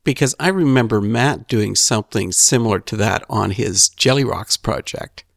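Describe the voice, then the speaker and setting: A man's voice: fairly low pitch, moderate pace, gravelly, no room sound. Chatty male interviewer, dry sound. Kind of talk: casual interview questions.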